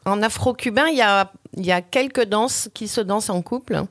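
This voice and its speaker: A woman taking part as a guest musician, calmly explaining a point in a casual interview. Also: mid-range voice, brisk, no echo.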